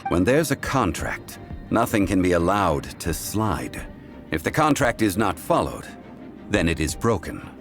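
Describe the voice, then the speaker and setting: Deep, grave scholarly gentleman. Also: very animated, heavily acted. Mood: firm, formal. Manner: measured, formal, grave. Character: calm, stern, scholarly man who upholds contracts. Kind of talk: video game voice acting. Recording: game music behind the voice.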